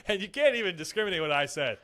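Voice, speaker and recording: Profane rambling young man, slightly high. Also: bright, forceful tone. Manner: rambling, profane, storytelling with quoted voices. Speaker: podcast co-host. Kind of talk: casual crude banter.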